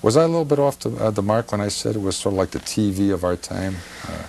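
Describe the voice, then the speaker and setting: A male talk show host with a low voice speaks in a halting, meandering, informal way, circling back over his words. A faint hum sits behind him. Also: animated, vocal fry, a little mellow, poor audio. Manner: halting, meandering, repeats himself. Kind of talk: informal interview introduction.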